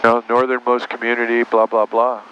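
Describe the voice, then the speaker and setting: A man's voice: mid-range voice, a little fast. Plain-spoken male pilot through dull cockpit audio. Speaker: airline captain. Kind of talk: casual cockpit chat. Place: small turboprop aircraft cockpit in flight. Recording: dull muffled audio, steady aircraft engine noise. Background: aircraft engine drone.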